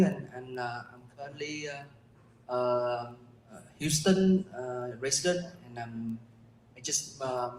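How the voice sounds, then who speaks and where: A man introduces himself hesitantly, pausing often on fillers. His pace is slow. The sound is roomy, with faint noise. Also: mid-range voice. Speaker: investor. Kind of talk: self-introduction at a meeting. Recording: echoey room, faint noise.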